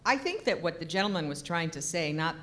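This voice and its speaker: Loud, low-voiced union woman. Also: lively intonation, fairly quick. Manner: loud, assertive, defends others' points. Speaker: union representative. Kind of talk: public comment at a town forum.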